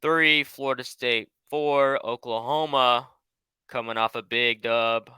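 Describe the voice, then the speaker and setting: A man's voice: mid-range voice, steady tone, slow pace, pushed and bright, clear, resonant tone, no echo, studio quality. A young man co-hosting a casual sports podcast, easygoing and laughing often. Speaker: podcast co-host.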